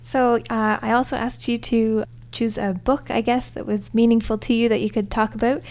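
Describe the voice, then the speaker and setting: A young woman interviewing casually as a podcast host. Her voice sits a little high and has a clear gravelly fry. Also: level tone, normal speed, darkish tone.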